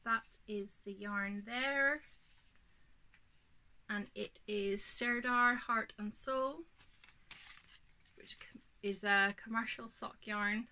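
Snuffly Northern Irish woman, slow chat. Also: mid-range voice, steady tone, bright, forceful tone. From Northern Ireland. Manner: chatty, unhurried, many ums. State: snuffly with hay fever. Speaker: knitting podcast host. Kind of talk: casual show-and-tell chat. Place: home craft room, indoors.

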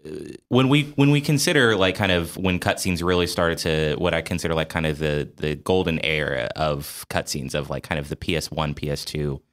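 A man's voice: extremely low pitch, steady tone, brisk, fairly bright tone. A man hosting a casual podcast discussion about games, talking in a hesitant, wordy, circling way. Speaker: podcast host.

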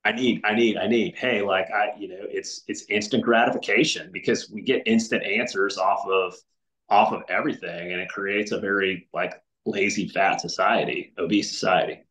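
A man's voice: on the low side, fairly even intonation, normal speed, fairly bright tone. A middle-aged man talking earnestly over a video call, often restarting his sentences. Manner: many restarts and fillers, earnest. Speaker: podcast guest. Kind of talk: casual video call chat. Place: home room with windows. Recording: roomy echo.

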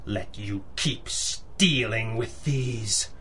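An actor playing a stern, menacing masked vigilante in a pulp radio drama, controlled and commanding. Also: male voice, on the low side, extremely slow, bright, forceful tone, breathy edge, exaggerated voice. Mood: angry.

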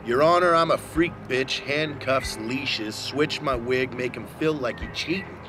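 A man in his thirties giving a deliberate, mock-dramatic reading of rap lyrics. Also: mid-range voice. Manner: deliberate, theatrical delivery. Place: outdoors among trees. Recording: music under the voice. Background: music.

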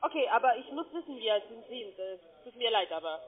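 A woman's voice: on the high side, expressive. Hesitant woman on a tinny phone line. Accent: foreign. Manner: hesitant, halting. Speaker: call centre agent.

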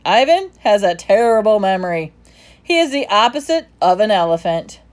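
A woman's voice: big pitch swings, normal speed, lightly acted. A woman voicing a loyal, scrappy little male stray dog who talks in a pushy, coaxing way. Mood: helpful. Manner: pushy, coaxing, high and insistent.

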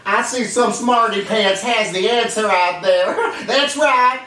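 A man's voice: sits high, slow, bright, forceful tone, voice pushed up, exaggerated voice. A man voicing a jolly, talkative Texan cowboy who tells riddles and jokes, cackling at his own punchlines in a put-on Texan drawl. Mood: hammy. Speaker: children's entertainer. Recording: roomy, distant mic, faint noise.

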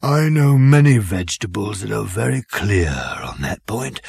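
A man voicing a courteous fat bovine creature that offers itself as the dish of the day, in a slow, ingratiating way with a husky voice. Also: lowish voice, darkish tone, no echo, full character voice. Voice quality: gravelly and husky.

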